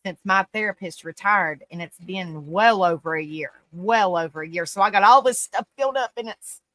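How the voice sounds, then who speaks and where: Folksy woman, bright voice. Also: mid-range voice, normal speed, no room sound. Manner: folksy, repeats phrases, says "bless your heart, honey". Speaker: host. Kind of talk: casual live-stream chat.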